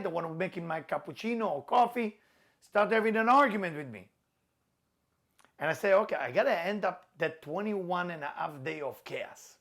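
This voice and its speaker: A man's voice: high voice, a bit bright. A middle-aged man with an Israeli accent, giving a motivational class talk in a direct, conversational way. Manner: direct, conversational, plain phrasing. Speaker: spiritual teacher.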